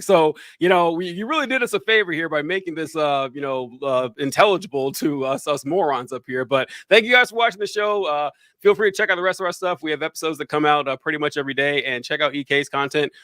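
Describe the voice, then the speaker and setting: A man's voice: on the high side, bright, forceful tone, no echo, studio quality. A man co-hosting a political podcast, talking bluntly and animatedly and swearing freely. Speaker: podcast co-host. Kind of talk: casual political podcast chat.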